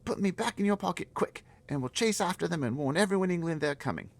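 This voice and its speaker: A man voicing a brave, indignant little orphan girl in a storybook reading, high, loud and pleading. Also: lively intonation, fast talker, vocal fry, exaggerated voice.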